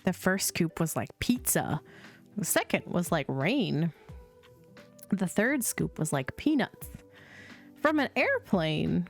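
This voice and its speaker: A woman reading children's storybooks aloud as a host, in a measured, sing-song storytelling delivery. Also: on the low side, very animated. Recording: music playing behind the voice. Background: chill music.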